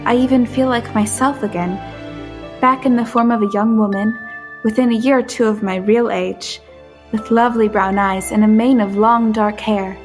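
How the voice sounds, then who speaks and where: A woman playing a shy, awed young woman in a period audio drama, soft-spoken, hesitant and earnest. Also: on the high side, steady tone, moderate pace, over music, lightly acted. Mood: reflective. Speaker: lead actress and narrator. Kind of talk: first-person dramatic narration and dialogue.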